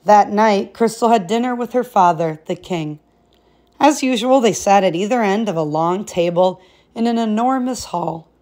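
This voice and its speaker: Female storybook narrator for a fairy-tale read-aloud, expressive, mid-range voice. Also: medium pitch, normal speed.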